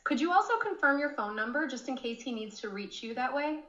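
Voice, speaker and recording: Bright synthetic female receptionist, echoey and dull. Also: high voice, lively intonation, fairly quick. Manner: polite, helpful, scripted. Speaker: AI phone receptionist. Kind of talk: customer service phone call. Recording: dull, echoey playback of AI call.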